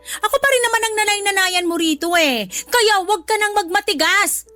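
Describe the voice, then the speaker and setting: A woman's voice: very high-pitched, expressive, moderate pace, sharp tone, faint music behind, exaggerated voice. An actress playing a cruel, haughty stepmother in a radio drama, scolding and bossy. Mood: threatening. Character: cruel, domineering live-in stepmother, spiteful and haughty. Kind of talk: Tagalog radio drama acting.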